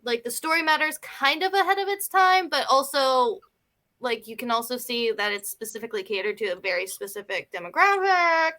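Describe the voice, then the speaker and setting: Bright, lively, high-voiced woman. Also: fairly quick. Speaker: film discussion panelist. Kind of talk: casual film discussion.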